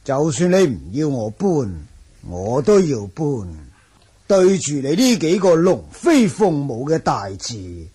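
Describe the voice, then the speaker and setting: Very husky, courtly martial master. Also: male voice, a little high, big pitch swings, slow pace, dark tone, exaggerated voice. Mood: resigned. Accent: Hong Kong Cantonese. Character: courteous, measured chess-loving martial arts master, older man. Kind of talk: 1980 radio drama acting.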